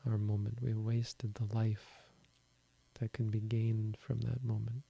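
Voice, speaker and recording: A man with a quiet voice giving a calm, slow Buddhist talk to an online audience. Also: lowish voice, gravelly. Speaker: Buddhist teacher. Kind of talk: dharma talk.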